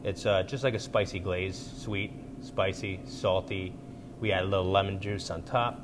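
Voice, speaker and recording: A man's voice: low-pitched, steady tone, moderate pace. A man in his thirties with an American accent, a chef casually explaining dishes in informal, hesitant phrasing. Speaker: chef and restaurant owner. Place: restaurant bar indoors. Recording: noisy room ambience.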